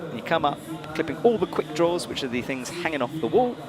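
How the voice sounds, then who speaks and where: A man's voice: a little high, big pitch swings, fast, a crowd in the background. A man presenting live sports commentary in an emphatic, conversational way. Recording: music behind the voice. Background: music.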